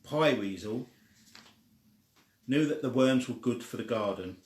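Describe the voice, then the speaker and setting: A man's voice: mid-range voice, expressive, unhurried. A man reading a children's story aloud in an informal, homespun way. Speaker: story reader. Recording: echoey room.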